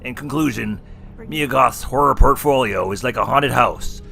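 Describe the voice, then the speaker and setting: A joking clown horror host, played by a man, trading teasing puns in scripted banter. His voice is bright and sharp with a gravelly edge, over faint background music. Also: mid-range voice, moderate pace. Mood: wisecracking. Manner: punny, teasing. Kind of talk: scripted comedic review banter.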